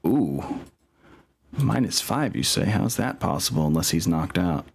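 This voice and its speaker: A man's voice: low-pitched, sing-song, measured pace, vocal fry, breathy edge. A man narrating a tabletop war game as game master, with casual asides, swearing and exclamations. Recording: music playing behind the voice. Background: music.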